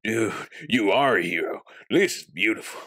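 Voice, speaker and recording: A man acting as a shameless street beggar in a comic dub. His voice is low and husky, nagging in a slangy way, and very closely recorded with no room sound. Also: lively intonation, moderate pace, pushed and bright, no room sound, studio quality. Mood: wheedling.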